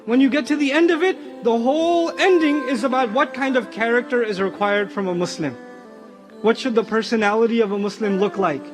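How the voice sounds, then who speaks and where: Male islamic lecturer, really high voice, emphatic n explaining, music underneath. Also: brisk. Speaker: religious lecturer.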